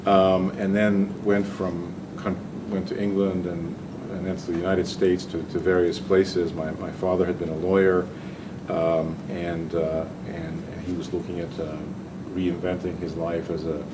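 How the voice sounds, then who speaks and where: A man's voice: deep voice, flat delivery, unhurried. An older man from Hungary, a physician and researcher giving a talk, speaking hesitantly with many ums and restarts. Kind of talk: lecture-style talk. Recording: distant mic with audible room noise.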